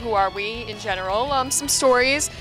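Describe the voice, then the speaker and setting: A young woman co-hosting convention coverage, talking casually with frequent hesitations. Her voice is somewhat high. Music plays clearly in the background. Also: normal speed. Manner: hesitant, many ums and restarts. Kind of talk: casual chat and interviewing.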